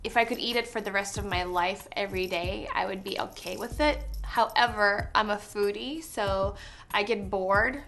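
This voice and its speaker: A young woman from Canada answering interview questions on set in a chatty, giggly way with lots of pauses and ums. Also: medium pitch, a bit bright, slight background noise.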